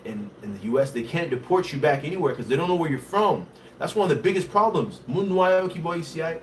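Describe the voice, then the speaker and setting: A man from Haiti with a Haitian accent, hosting a casual livestream, talking in an animated way and reading viewer comments. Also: fairly high pitch, far from the mic, a little hiss or hum. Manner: switches between English and Haitian Creole, animated and conversational.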